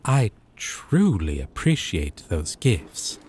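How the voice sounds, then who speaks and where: A man playing a gentle, possessive yandere boyfriend from a dating sim, speaking tenderly and coaxingly with deliberate pauses in a scripted roleplay. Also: low-pitched, big pitch swings, background noise, heavily acted. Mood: calm, explanatory. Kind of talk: scripted roleplay audio drama.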